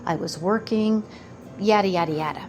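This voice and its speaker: A woman in her fifties giving a slow, earnest motivational talk about manifesting, pausing often between phrases. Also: medium pitch, noisy background. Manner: slow, pausing, earnest, quotes doubters in put-on voices. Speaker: manifestation coach.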